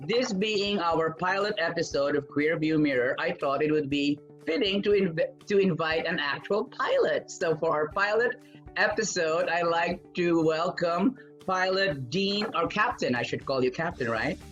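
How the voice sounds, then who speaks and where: High-voiced man hosting an interview, eager, reacts with wows, faint music. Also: measured pace, a bit bright, highs cut off. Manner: eager, lots of "wow"s. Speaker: interviewer, host.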